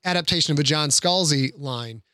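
A man's voice: a little high, fairly quick, sharp tone, clean tone, no echo, clean studio sound. A middle-aged man in a casual podcast discussion, explaining things in an earnest, plain-spoken way.

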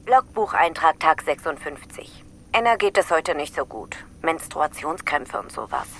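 Calm astronaut logging a day. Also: female voice, low-pitched, normal speed, slight background noise. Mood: plain. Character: female astronaut dictating a log entry.

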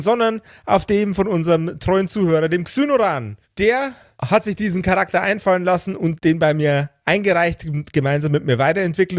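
A man's voice: high-pitched, dry recording, clean studio sound. A man narrating an improvised tabletop game as game master and host. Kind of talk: live improvised tabletop narration and casual hosting.

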